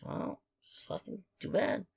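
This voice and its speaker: A woman's voice: slow, a little mellow. A woman co-hosting a podcast, bantering casually about films in a blunt, sweary manner. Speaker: podcast co-host. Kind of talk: casual bantering film review chat.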